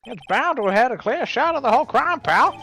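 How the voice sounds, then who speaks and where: A performer voicing a scruffy, eager, bumbling male police detective with a folksy, excitable manner in a live game fan dub. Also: high-pitched, fairly quick, a little hiss or hum, heavily acted. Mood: earnest. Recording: music behind the voice.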